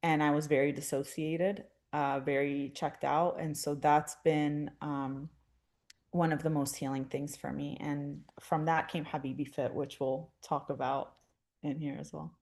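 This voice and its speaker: A woman's voice: sits low, level tone, unhurried, darkish tone. A young adult woman from Syria, a therapist, talking in a reflective, casual way with many fillers and restarts. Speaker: therapist, dance instructor guest. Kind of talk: casual interview conversation.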